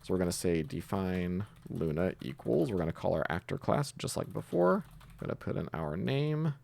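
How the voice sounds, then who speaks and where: A man giving a casual screencast coding tutorial, talking haltingly as he thinks aloud while typing. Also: low voice, expressive, gravelly, a little mellow. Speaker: programming tutorial host.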